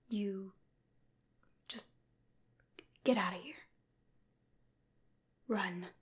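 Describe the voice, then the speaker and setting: A young woman playing a tough, sarcastic female rescuer in an ASMR roleplay, breathy, hushed and offhand. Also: fairly high pitch, exaggerated voice. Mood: sardonic, threatening. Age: 20s. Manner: sardonic, offhand. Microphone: close microphone.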